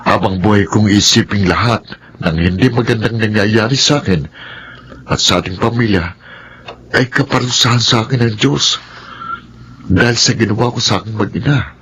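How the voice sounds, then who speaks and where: An actor with a Filipino accent playing a remorseful husband who talks in an earnest, halting way, in a radio drama. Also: male voice, fairly low pitch, slight background noise, close to an everyday voice. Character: remorseful middle-aged husband seeking forgiveness. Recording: radio drama with soft music.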